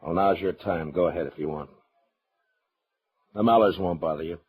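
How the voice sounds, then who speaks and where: A stern, curt frontier marshal. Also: male voice, deep voice, moderate pace, husky, lo-fi sound. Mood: low, controlled. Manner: curt, commanding, steady. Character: stern, unflinching frontier US marshal, grown man. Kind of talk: old-time western radio drama acting.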